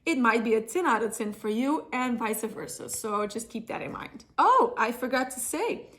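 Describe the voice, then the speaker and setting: A woman's voice: on the high side, big pitch swings, moderate pace, a bit bright. A woman with a cold and a slightly nasal, blocked-up voice, chatting casually through a perfume review with lots of fillers and restarts. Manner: chatty, many fillers and self-corrections. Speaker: fragrance vlogger.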